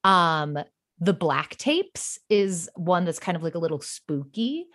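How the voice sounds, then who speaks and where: Low voiced woman, podcast guest, chatty and gushy, says like a lot. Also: sing-song, slow pace, a bit bright. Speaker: podcast guest, writer and fiction podcast creator. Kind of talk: casual chat.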